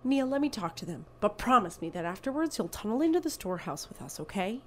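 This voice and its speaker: A woman's voice: medium pitch, very animated, fast talker, very noisy, natural voice. A woman playing a sarcastic, exasperated young adventurer in an audio drama, speaking emphatically. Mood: brisk, persuasive.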